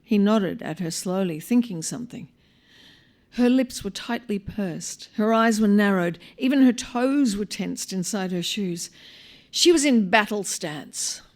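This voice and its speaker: An Australian woman reading a short story aloud live, in an expressive, wry manner with dramatic pauses. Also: mid-range voice. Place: live on stage at a small venue.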